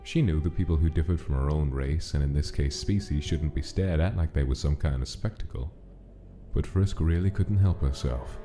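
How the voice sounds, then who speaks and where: A man's voice: very deep voice, normal speed. A man reading scripted story narration as the narrator of a drama. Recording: music clearly under the narration.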